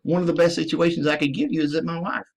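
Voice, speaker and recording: A man's voice: a little high, steady tone, fast, creaky, slightly rough. A man from the United States, a military veteran, delivering a profane, emphatic ranting monologue to his listeners. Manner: profane, emphatic, addresses listeners as ladies and gentlemen.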